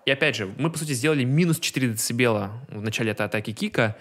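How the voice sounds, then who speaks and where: A man's voice: medium pitch, racing. A young man explaining music production in an informal, slangy tutorial style, talking into a desk microphone in a home room. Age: young adult. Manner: informal, slangy, peppered with tag words. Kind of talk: casual screen-recorded tutorial, explaining. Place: small home room, indoors. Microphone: desk microphone close to the mouth. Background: loud music from the track being mixed.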